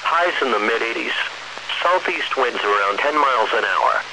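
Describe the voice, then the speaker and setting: A man's voice: medium pitch, lively intonation. Synthetic male weather radio announcer, noisy signal. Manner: measured, impersonal automated delivery. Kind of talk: scripted weather forecast reading.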